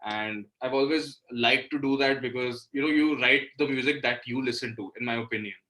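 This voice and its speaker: Male interview guest, Indian accent, bright tone, mid-pitched, conversational and informal. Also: a little fast, poor audio. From Delhi, India. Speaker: guest, indie musician. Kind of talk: casual interview talk.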